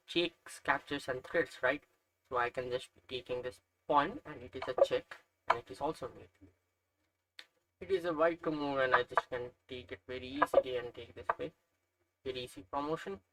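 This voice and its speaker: A man's voice: mid-range voice, moderate pace, fairly bright tone, a little hiss or hum, low-quality recording. A young man from Nepal with a Nepali accent, talking through chess puzzles, thinking aloud with frequent hesitations and self-corrections. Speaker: chess learner narrating puzzles. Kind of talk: casual screen-recorded commentary.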